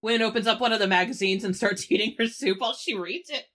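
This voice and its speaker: A woman's voice: fairly high pitch, fast talker, fairly bright tone. A woman playing a tabletop role-playing game, chatting casually and playfully as she improvises.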